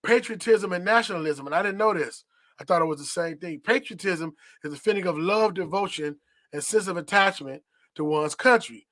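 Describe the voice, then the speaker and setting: A man's voice: high-pitched, a little fast, a bit bright, dry recording. Preachy man teaching on a podcast. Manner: preachy, repetitive, rhetorical "right?" tags; reads dictionary definitions aloud. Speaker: podcast host.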